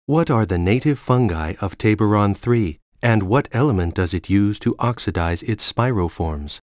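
A man voicing a calm, implacable computer AI for a game, speaking in a formal, measured way. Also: lowish voice, no echo, clean studio sound, lightly acted. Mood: cold, quizzing. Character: calm, implacable male computer AI guarding a facility.

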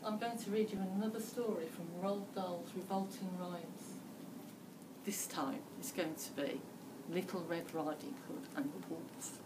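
A woman's voice: on the low side, fairly even intonation, measured pace. A woman in her fifties reading a children's story dramatically, like a primary school teacher. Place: school library indoors. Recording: distant mic, faint room noise.

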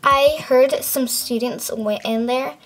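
A woman voicing a bold, excitable boy pet who talks his friend into trouble, in a toy skit. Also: high voice, slow, heavily acted, low-quality recording. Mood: eager. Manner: eager, pushy, excitable.

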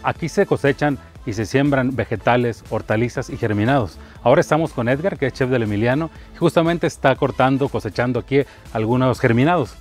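A man's voice: medium pitch, a little fast, few pauses. A man with a Mexican accent, presenting a culinary travel show as a chef and host. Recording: music under the voice. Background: background music.

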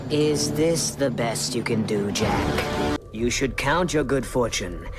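An actor playing a smug, sly warlord villain in a cartoon, with a smooth, taunting delivery. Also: male voice, mid-range voice, expressive, takes it slowly. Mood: cold menace. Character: sly, smug young male martial-arts villain warlord. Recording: loud music behind. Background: loud music.

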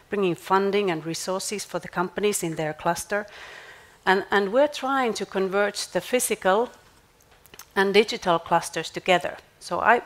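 A woman, giving a measured conference pitch on stage and restarting words now and then. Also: a bit bright. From Finland. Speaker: startup accelerator CEO.

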